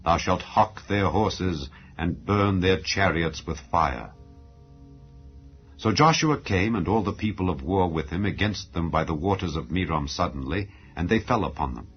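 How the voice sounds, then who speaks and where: A man giving a solemn, measured, declamatory dramatized narration of scripture as an audio Bible reader. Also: low voice, lively intonation. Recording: dull lo-fi recording. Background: background music.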